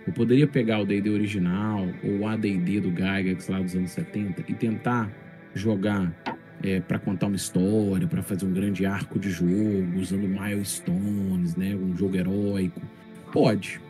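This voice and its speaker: Bright-voiced middle-aged Brazilian man explaining. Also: low voice, level tone, normal speed. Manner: articulate, explanatory, seeks agreement with tags. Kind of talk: casual explanatory podcast talk. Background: faint music.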